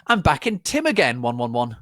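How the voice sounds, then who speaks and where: A man voicing an excitable, snappish young gothic vampire girl in an exclamatory, overdramatic way, for a story reading. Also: mid-range voice, a little fast, no room sound, studio quality, voice pushed up. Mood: shrieking excitement. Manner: exclamatory, overdramatic, spells out internet slang.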